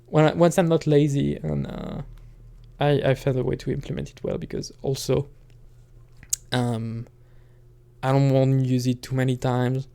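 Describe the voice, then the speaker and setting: A man talking casually on his own channel in a hesitant, halting way, thinking out loud as he goes. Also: medium pitch, flat delivery, vocal fry, clean tone. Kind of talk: casual rambling solo talk.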